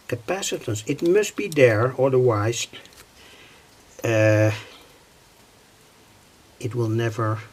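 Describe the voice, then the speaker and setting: A man demonstrating a radio circuit at his workbench, speaking haltingly with pauses, fillers and self-corrections. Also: mid-range voice, creaky, breathy edge.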